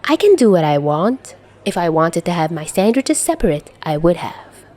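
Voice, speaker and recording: A woman's voice: on the low side, very animated, normal speed, dark tone. A woman voicing a defiant, sulky teenage boy in an audiobook story, in a pouting, protesting manner.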